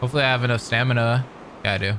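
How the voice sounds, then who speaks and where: A young man commenting casually and excitedly while playing a game. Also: medium pitch, racing, background noise. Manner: excitable, chatty, says dude. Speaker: gaming streamer. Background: game music.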